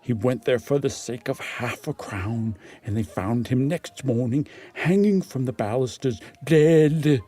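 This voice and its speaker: A man voicing an indulgent, wary country innkeeper with a quiet, slow manner and rustic phrasing. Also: mid-range voice, soft background music, natural voice. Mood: grave. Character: indulgent, polite, wary country inn landlord.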